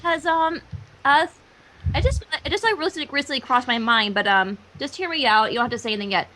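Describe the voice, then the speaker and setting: Bright, high-pitched eager woman. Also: animated, quick pace. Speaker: amateur voice actor, livestream guest. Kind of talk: casual chat. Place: online voice call.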